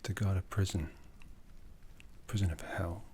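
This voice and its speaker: A man playing an ancient, brooding vampire lord in an ASMR roleplay, speaking in a breathy, hushed, solemn voice that slips into whispers. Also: sits low, fairly even intonation, a little hiss or hum. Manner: deliberate, solemn, intimate. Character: ancient vampire lord, brooding, commanding, softly menacing, courtly. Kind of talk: ASMR roleplay, soft speech slipping into whispers.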